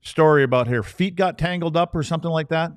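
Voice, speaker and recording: A man's voice: a little high, fast talker, no echo, studio quality. A man, middle-aged or older, chatting casually as a sports podcast co-host.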